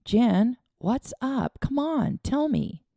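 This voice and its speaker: A woman's voice: sits high, lively intonation, takes it slowly, no room sound, clean studio sound, pitched above the performer's own voice, full character voice. A woman voicing a kind, earnest young boy who comforts a friend. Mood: puzzled. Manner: earnest, gently reassuring.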